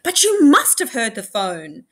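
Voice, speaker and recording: A woman reading the part of a stern, sharp lawyer mother with a crisp, scolding manner in a storybook. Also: high voice, huge pitch swings, a little slow, pitched above the performer's own voice, heavily acted.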